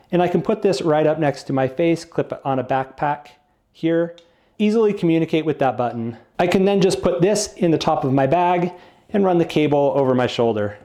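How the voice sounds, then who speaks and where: A man with a fairly high-set voice and a mellow, relaxed tone reviews gear. He explains in simple, non-technical terms, in the casual style of a product walkthrough.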